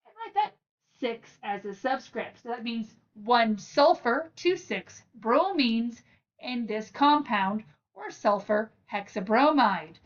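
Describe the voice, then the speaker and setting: A woman with a fairly high voice walks learners through a lesson one step at a time. Her delivery is informal and encouraging, addressed straight to the listener. The recording sounds a little dull, as if she sits some way from the mic. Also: lively intonation, slow, distant mic. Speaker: teacher and channel host.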